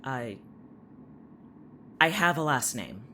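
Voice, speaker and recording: A woman's voice: a bass voice, sing-song, slow pace, pushed and bright, a little hiss or hum, heavily acted. A woman playing a defensive, foul-mouthed woman in an audio drama, combative in manner. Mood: shaken. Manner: combative, profane. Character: defensive, foul-mouthed young woman insisting she is real.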